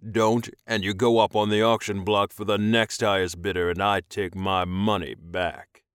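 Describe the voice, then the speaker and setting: A smug alien master from an audiobook, acted out by a male narrator. He is commanding and possessive, with a growl in his delivery and a deliberate, amused manner. The sound is very dry. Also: on the low side, little pitch movement, a bit bright, smooth, no room sound, natural voice. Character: smug, domineering alien male slave buyer.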